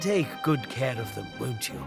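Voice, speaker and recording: A man's voice: mid-range voice, big pitch swings, normal speed, gravelly. A male actor playing a fond, earnest, fatherly boss in a video game, speaking plainly. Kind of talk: video game cutscene voice acting. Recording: loud music over the voice. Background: game music.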